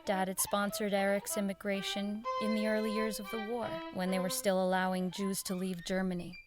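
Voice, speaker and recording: A woman's voice: mid-range voice, level tone, brisk, close to an everyday voice. Woman acting earnest, determined radio executive, music underneath. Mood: reflective narration. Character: Helen, idealistic, determined woman radio network executive, late 1940s. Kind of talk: audio drama acting, dialogue and wistful first-person narration.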